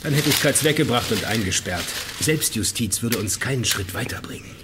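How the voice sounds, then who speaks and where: Actor as a dry, stubborn agent amid background noise. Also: male voice, mid-range voice, lively intonation, a little fast, natural voice. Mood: weary. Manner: dry, sardonic, blunt. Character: stubborn, weary male ex-agent, dry and impatient. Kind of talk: German radio crime drama acting.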